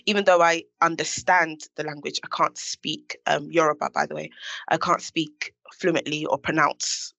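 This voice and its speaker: A woman talks as a guest on a podcast panel, light and self-deprecating. Her voice has a gravelly edge, and the sound is dry and close. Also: low-pitched, steady tone, moderate pace, a bit bright, no echo. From United Kingdom. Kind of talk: conversational podcast discussion.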